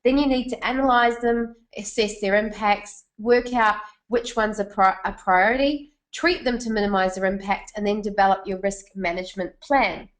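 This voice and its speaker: A woman's voice: medium pitch, steady tone, moderate pace, few pauses. New Zealand woman presenting a webinar. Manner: chatty, asks the audience questions.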